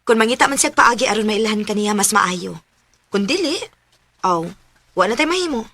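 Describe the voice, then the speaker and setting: An actress playing a caring single mother in her thirties, gently admonishing in a Cebuano radio drama. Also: mid-range voice, expressive, brisk. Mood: caring, resigned.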